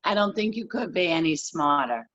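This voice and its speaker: A woman asking an interview question, admiring and halting, often restarting her sentences. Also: lowish voice, lively intonation, a bit bright, lo-fi sound. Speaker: guest interviewer. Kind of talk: casual interview chat.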